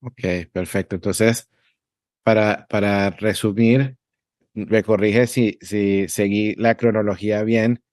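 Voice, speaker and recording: Low-voiced male podcast host. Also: steady tone, slow pace, darkish tone, no echo, studio quality. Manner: recaps and checks facts. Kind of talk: interview questions.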